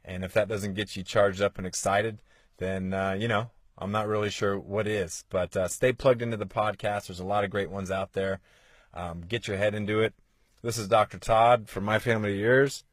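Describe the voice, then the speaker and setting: A man in his forties gives a motivational podcast talk in a fairly low voice. He sounds earnest and coaxing, and he leans on rhetorical questions. The close mic gives a dry, intimate sound with no room echo. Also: fairly even intonation, normal speed. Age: 40s. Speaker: podcast host.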